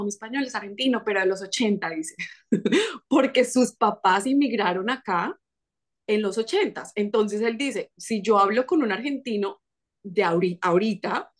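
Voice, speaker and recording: A woman in her thirties with a Colombian accent chats as a podcast guest, her voice sitting a little high. She tells her story in a lively, casual way, often restarting mid-word. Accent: Colombian Spanish. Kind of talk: casual interview chat.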